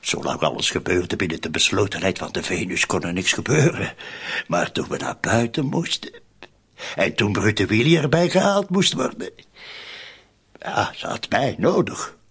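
An actor with a Flemish accent playing a scheming, suspicious conspirator in a radio drama, insistent and often dropping to near-whispers. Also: male voice, on the low side, sing-song, normal speed, a bit bright, slightly rough, full character voice. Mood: conspiratorial.

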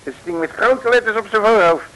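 An actor from the Netherlands playing an excitable, grumpy, bumbling detective who scolds and curses colourfully in a vintage Dutch comic radio serial. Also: male voice, high-pitched, a little fast, poor audio. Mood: self-important. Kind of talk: 1950s-60s comic radio serial acting. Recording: vintage mono radio recording.

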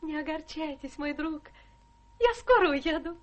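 Sweet young governess, super high voice, modest and ingratiating, radio drama. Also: female voice, animated, measured pace. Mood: demure, sweet. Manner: demure, ingratiating. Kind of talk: Russian theatrical radio drama acting.

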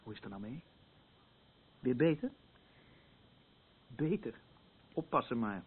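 It sounds narrow and muffled, like a phone line. a concerned male confidant in a radio drama, challenging and protective. His husky voice swings widely in pitch as he presses his questions. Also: expressive, unhurried. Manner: pointed, questioning, repeating himself. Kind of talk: radio play acting.

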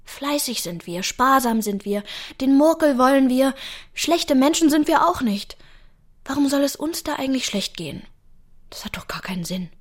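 An actress playing a hopeful young newlywed wife in a radio drama, tender, spirited and earnest. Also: female voice, sits high, lively intonation, normal speed. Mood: reassuring. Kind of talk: German radio play acting.

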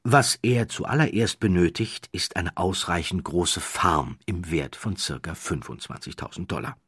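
Male narrator for audiobook storytelling: low, husky, measured, theatrically emphatic, dry studio sound. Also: fairly bright tone, no echo. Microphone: close studio microphone, dry.

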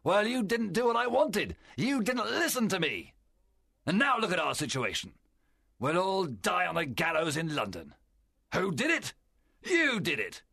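Fierce storybook pirate captain: bright, forceful rasp, wildly swooping, commanding and cunning. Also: male voice, high voice, sing-song, no echo, studio quality, pitched above the performer's own voice, heavily acted. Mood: angry, scornful. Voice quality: strained, gravelly rasp. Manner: theatrical, commanding, drawn-out delivery. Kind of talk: dramatized audiobook character voice.

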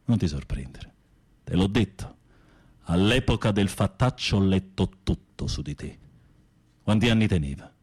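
Husky, low-voiced theatrical prisoner. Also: male voice, a little mellow, full character voice. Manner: theatrical, sardonic, with drawn-out musing. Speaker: radio drama actor. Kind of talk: Italian radio theatre acting.

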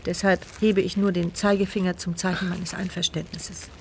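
A woman's voice: on the low side, flat delivery, normal speed, vocal fry, noisy background. An actress playing an anguished, introspective woman who narrates her thoughts in short phrases in a radio play. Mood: sombre, detached. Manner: introspective, speaks in short present-tense thoughts. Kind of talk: dramatic first-person radio play monologue. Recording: studio radio play with background music.

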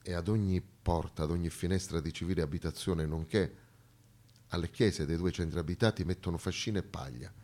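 A man performing a dramatic theatrical monologue, narrating historical events in a deliberate, declamatory way. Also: low voice, warm tone. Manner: declamatory, deliberate, storytelling delivery. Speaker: stage actor and narrator.

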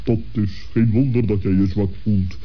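A man's voice: fairly low pitch, fairly even intonation, normal speed, close to an everyday voice. A man voicing a pedantic, well-meaning owl in a wordy, ponderous manner for a children's radio play. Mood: blunt. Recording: dull old radio play recording.

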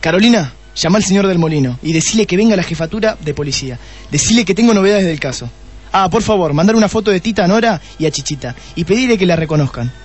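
An actor playing a shrewd, testy police detective in a radio play, with an Argentine accent and a deliberate way of questioning. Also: male voice, sits high, lively intonation, husky, faint music behind. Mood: focused, satisfied. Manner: deliberate, repeats answers back pointedly. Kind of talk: Spanish-language radio play acting.

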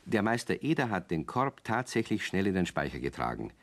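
A man as the narrator of a children's radio play, reading scripted story narration in an unhurried way. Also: sits low, very animated. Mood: calm.

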